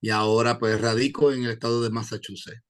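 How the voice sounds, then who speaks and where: A man from Puerto Rico with a Puerto Rican accent, an evangelist giving his testimony in an interview, pausing often with "eh" and quoting scripture. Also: medium pitch, level tone, fairly bright tone. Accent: Puerto Rican Spanish. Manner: hesitant, many "eh" fillers, quotes scripture. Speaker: evangelist guest.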